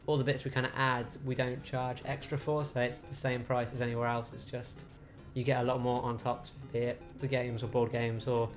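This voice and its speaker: A man who runs a games cafe talks casually and at length, with lots of fillers. His delivery is flat and even, and soft guitar music plays in the background. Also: mid-range voice, a little fast, hardly any pauses. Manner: relaxed, rambling, uses many fillers. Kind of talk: interview about his business.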